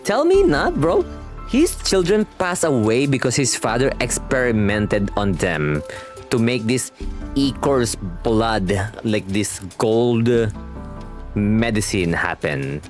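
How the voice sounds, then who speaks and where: A man's voice: mid-range voice, sing-song, slow pace. A man doing a casual live game reaction, chatting in slangy asides and reading on-screen text aloud over game music. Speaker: streamer reacting to a game story.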